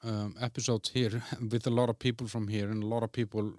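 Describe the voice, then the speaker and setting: A man's voice: fairly low pitch, level tone, brisk, a little mellow, dry recording, clean studio sound. A man from Iceland hosting a podcast in casual interview chat, speaking fluently and opinionatedly as he leads the questions. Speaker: podcast host.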